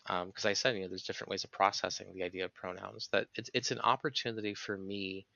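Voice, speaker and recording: Man, podcast guest, forceful bright tone, earnest analytical explainer, reflective conversation, dry close-miked sound, slightly dull audio. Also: low voice, flat delivery, quick pace, gravelly, no echo.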